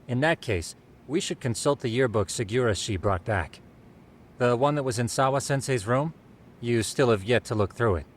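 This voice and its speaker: A man's voice: medium pitch, expressive, moderate pace, lightly acted. Formal, informative male investigator acted by a man, video game cutscene, faint background noise. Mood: explaining.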